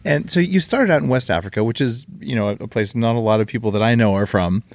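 A man hosting a podcast, chatting casually and upbeat with quick asides, recorded in a room with his guest. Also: mid-range voice, no echo. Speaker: podcast host. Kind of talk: casual interview and ad read. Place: room in Hawaii, live with guest.

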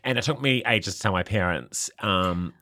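A man in his thirties with a New Zealand accent, chatting as a comedian guest, animated and self-mocking. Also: low voice, normal speed, no room sound. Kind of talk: casual chat.

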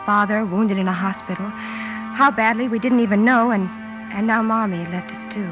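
A woman acting a wistful young narrator in a 1950s radio drama, reflective and measured with dramatic pauses. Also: mid-range voice, steady tone, highs cut off, close to an everyday voice. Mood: grave. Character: wistful young woman recalling her family's past. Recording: vintage radio recording with music.

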